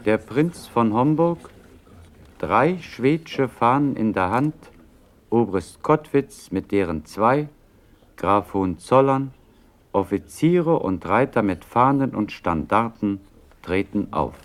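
A man narrating stage directions for a radio play in a formal, deliberate, scripted manner. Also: mid-range voice, lively intonation.

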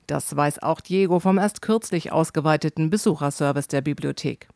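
A man reading scripted documentary narration for a travel report, measured and descriptive. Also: on the high side, vocal fry, darkish tone, a little hiss or hum.

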